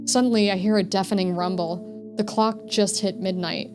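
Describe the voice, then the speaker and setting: A troubled, needy young narrator of a psychological horror game, read aloud by a woman. The delivery is introspective and pleading, like thoughts spoken out in a visual novel. Music plays clearly behind the voice. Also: medium pitch, fairly even intonation, moderate pace, lightly acted. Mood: pleading, anxious.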